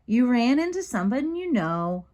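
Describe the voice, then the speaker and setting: Woman reading as a dry young boy, lightly high. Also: very animated, measured pace, darkish tone. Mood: reasoning. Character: matter-of-fact, dry older brother, boy, storybook narrator.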